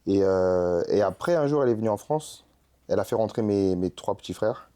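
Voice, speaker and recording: A young man from Congo chatting in an interview, telling stories in an animated, slangy way and laughing often. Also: on the low side, fairly quick. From Republic of the Congo. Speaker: rap artist guest. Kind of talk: casual interview chat.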